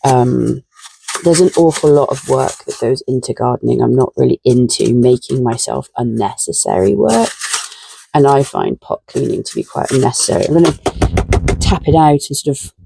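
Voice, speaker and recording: A woman with a croaky, tired voice chatting casually and a little self-deprecatingly while potting up seedlings in her garden shed. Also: a bass voice, lively intonation, normal speed, background noise. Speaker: gardening vlogger.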